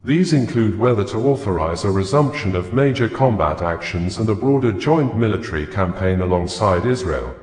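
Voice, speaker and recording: Low-voiced man reading news, echoey. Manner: steady formal newsreader delivery. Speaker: news reader.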